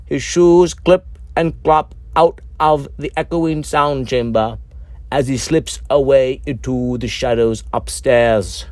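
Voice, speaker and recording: A man reading his own scripted dark comedy story as narrator, deliberate and dramatic with measured pauses. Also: mid-range voice, dry recording.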